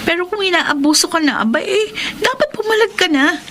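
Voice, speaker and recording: A woman's voice: very high voice, lively intonation, fairly quick, pushed and bright, slight background noise, exaggerated voice. An actress with a Filipino accent playing an indignant, protective female office friend in a radio drama, frank and disapproving.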